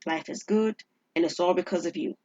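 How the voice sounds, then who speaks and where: A woman's voice: on the low side, fairly quick, darkish tone, lightly acted. A woman voicing a subdued, hesitant and thoughtful married man in an audio drama. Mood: quietly grateful. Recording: dull, lo-fi audio.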